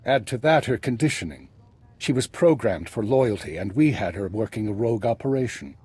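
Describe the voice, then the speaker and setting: Very husky retired spymaster. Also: male voice, lowish voice, lively intonation, darkish tone, dry recording. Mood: grave. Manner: measured, formal, understated. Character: retired male spymaster, composed, dry, calculating. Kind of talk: video game voice acting.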